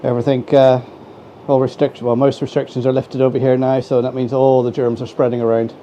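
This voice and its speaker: An Irish man with a stuffy head cold talks in a mellow, easygoing way as he hosts a live stream. The home recording sounds a bit dull and lo-fi, with a faint hiss behind him. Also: medium pitch, fast. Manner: relaxed and chatty, greets viewers by name. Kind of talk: casual chat to a live stream. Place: home model railway room. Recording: dull, slightly lo-fi home mic with faint noise.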